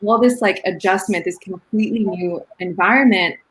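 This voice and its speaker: A woman's voice: medium pitch, normal speed, poor audio. A woman hosting a casual online interview show, upbeat and encouraging with her guest, on a video call mic. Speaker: podcast host.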